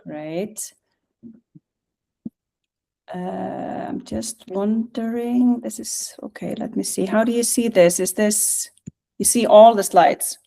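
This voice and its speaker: Middle-aged Icelandic female presenter, soft-edged mellow tone, informal online talk with halting delivery. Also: mid-range voice, gravelly. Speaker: guest speaker, national music office director. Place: video call.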